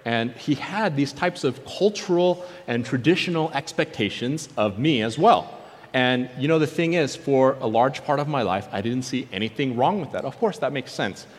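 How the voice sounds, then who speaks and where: A man's voice: mid-range voice, animated, normal speed. A man preaching through personal testimony, telling his story earnestly and acting out remembered conversations. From born in South Korea. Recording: long hall reverb.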